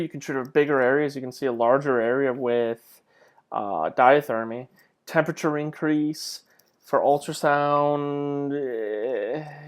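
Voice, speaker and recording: A man giving an informal slide lecture in a conversational way, with rhetorical questions and self-deprecating asides. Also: medium pitch. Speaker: lecturer, clinical instructor.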